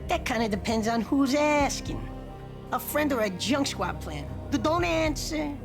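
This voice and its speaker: A cocky mobster thug, taunting in a gravelly, sing-song voice that swings up and down. Loud game music pounds behind him. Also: male voice, very high-pitched, expressive, measured pace, heavily acted. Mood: mocking.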